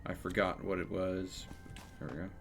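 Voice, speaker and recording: Man streaming a game over loud music. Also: sits low, level tone, normal speed, creaky. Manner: thinks aloud, playful exclamations. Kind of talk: casual live gameplay commentary. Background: video game music.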